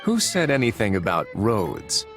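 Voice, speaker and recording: A man's voice: lowish voice, wildly swooping pitch, unhurried, exaggerated voice. A man voicing an easygoing, suave duke in a video game, with a wry, teasing manner. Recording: music under the voice.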